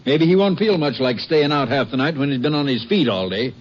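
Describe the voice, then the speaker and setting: An actor playing a gruff, scolding middle-aged father and storekeeper in a 1950s radio drama. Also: mid-range voice, animated, brisk, muffled recording, lightly acted. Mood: stern. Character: stern small-town father and storekeeper. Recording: hissy old radio broadcast.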